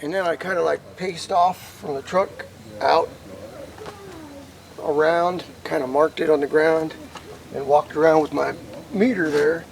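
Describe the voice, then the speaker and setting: An American man giving a casual, rambling hands-on demonstration to camera, informal and thinking out loud as he goes. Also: fairly high pitch, fairly even intonation, measured pace, vocal fry, pauses often, slight background noise, poor audio. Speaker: hobbyist vlogger demonstrating CB radio gear.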